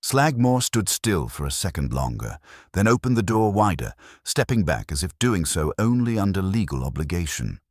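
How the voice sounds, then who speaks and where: A man reads a mystery story with measured wryness. His voice is extremely low and somewhat raspy, yet his intonation moves in an animated way. The sound is dry and close. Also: dry recording. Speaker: narrator.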